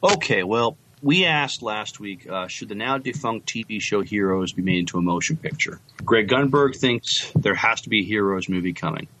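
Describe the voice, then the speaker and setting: A man with a moderately low voice co-hosts a casual podcast. His delivery is halting and conversational, with hesitant, repeated words as he chats and reads out comments. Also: lively intonation, fairly bright tone, a little hiss or hum, low-quality recording. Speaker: podcast co-host. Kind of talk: casual chat, reading out listener comments.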